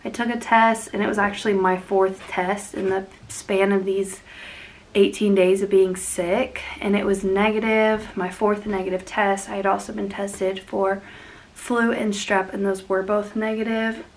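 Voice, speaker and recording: A woman's voice: medium pitch, little pitch movement, unhurried. A young woman hosting a casual plant vlog, sniffly and congested from being sick, rambling and laughing often as she talks. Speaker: plant channel host.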